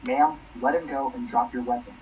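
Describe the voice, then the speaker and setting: An actor playing a firm, official police officer and dispatcher in a radio play. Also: male voice, medium pitch, steady tone, fairly quick, darkish tone, a little hiss or hum, close to an everyday voice, rough recording. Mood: stern warning. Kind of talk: dramatic radio play acting.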